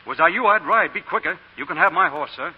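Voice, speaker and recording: An actor playing an urgent, deferential Southern messenger in a 1940s radio drama. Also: male voice, high voice, animated, fast talker, breathy edge. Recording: muffled, echoing, noisy radio recording.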